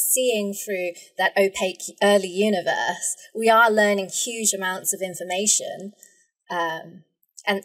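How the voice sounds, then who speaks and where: A woman's voice: medium pitch, a bit bright, poor audio. A woman speaking as a scientist guest, explaining casually and informally. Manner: informal, sprinkles "like" and "right?".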